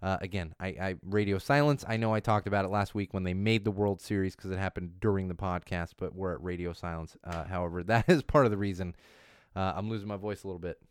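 A man hosting a casual news podcast in a studio, rambling and correcting himself as he goes, his voice a bit hoarse from losing it. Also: sits low, fairly even intonation, fast, no room sound. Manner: chatty, rambling, self-correcting. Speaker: podcast host.

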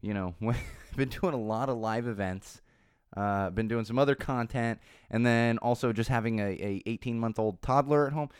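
A man in his thirties hosting his podcast, losing his voice so it sounds a bit raspy, rambling and repeating himself as he runs through plugs. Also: medium pitch, normal speed, no echo, clean studio sound. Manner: rambling, repeats words, self-corrects. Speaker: podcast host. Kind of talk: casual solo podcast intro with plugs. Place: small podcast studio. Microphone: studio microphone.

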